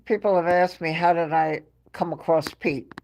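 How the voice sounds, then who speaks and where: A woman's voice: on the low side, fairly even intonation, moderate pace, creaky, a little mellow, rough recording. A woman with a New York accent hosting a livestream, telling stories in a chatty way and laughing often between phrases. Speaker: livestream host. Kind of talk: casual chat to a live chat audience.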